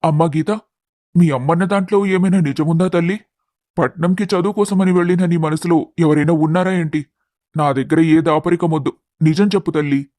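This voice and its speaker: A man's voice: on the high side, fairly even intonation, a little fast, darkish tone, dry recording, studio quality, close to an everyday voice. A man playing a doting, anxious middle-aged village father in a dramatised story, fretful and affectionate. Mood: protective, concerned. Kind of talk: dramatised moral story acting.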